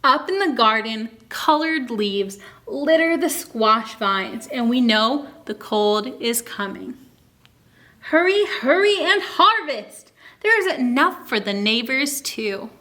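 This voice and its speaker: A woman hosting a children's story time, reading a picture book aloud expressively and pausing to ask young listeners questions and explain. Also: on the high side. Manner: asks listeners questions, expressive teaching delivery.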